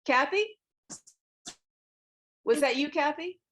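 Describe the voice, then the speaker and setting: A woman's voice: high voice, slow pace, a bit bright. A woman moderating a casual group discussion on a video call, keeping people in turn with a directive but reassuring manner. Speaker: moderator of a script critique session.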